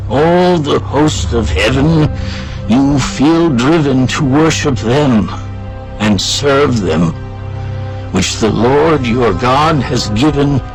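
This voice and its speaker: A man playing a solemn, aged prophet exhorting his people in a grave, deliberate way, as in a dramatized scripture reading. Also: medium pitch, low-quality recording.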